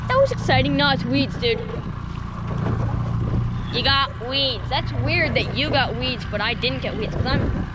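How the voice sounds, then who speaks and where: A man's voice: very high voice, lively intonation, a little slow, pauses often. A teenage boy filming himself fishing from a small boat, chatting casually to his viewers and explaining his gear. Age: teens. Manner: chatty, keeps addressing viewers as guys. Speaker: fishing vlogger. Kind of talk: casual on-camera fishing vlog. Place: small open boat on foggy open water. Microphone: action camera on the boat. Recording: loud engine and water noise outdoors. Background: loud boat engine and water.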